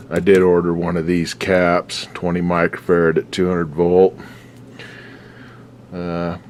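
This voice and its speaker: A man's voice: sits low, a little hiss or hum. A man restoring an old radio at his workbench, giving rambling, folksy commentary with a lot of swearing. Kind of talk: casual workshop commentary.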